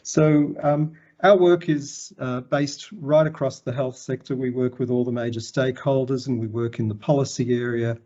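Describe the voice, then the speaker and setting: An Australian man giving a formal conference presentation in a measured, halting way. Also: mid-range voice, steady tone, mellow, muffled recording. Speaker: conference presenter, health organisation chief executive.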